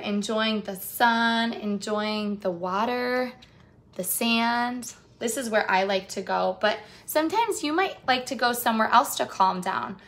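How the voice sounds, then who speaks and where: Bright-voiced woman reading aloud to children. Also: fairly high pitch. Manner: encouraging, addresses young viewers directly with questions. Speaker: children's read-aloud host and teacher. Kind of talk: picture-book read-aloud and talk to children.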